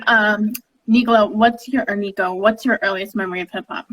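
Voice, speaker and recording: A woman's voice: mid-range voice, fairly even intonation, normal speed, a bit bright, slight background noise, rough recording. Woman host asking easy questions. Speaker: interviewer, host. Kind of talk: hosted interview, live stream.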